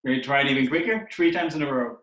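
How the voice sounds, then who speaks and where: A man whose first language is Dutch gently walks children through a hands-on activity over a video call. He is friendly and often repeats words while he finds them. His voice sounds roomy and echoey. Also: mid-range voice, fast. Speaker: workshop instructor. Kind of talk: teaching children on a livestream. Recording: roomy echo.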